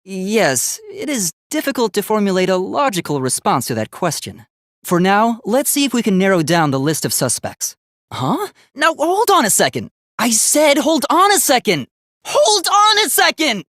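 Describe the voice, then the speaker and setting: A man's voice: high voice, huge pitch swings, moderate pace, a bit bright, dry recording, clean studio sound, exaggerated voice. A man voicing an earnest, polite young robot student in a video game, with formal, precise, logical speech that gets easily flustered. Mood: urgent, insistent.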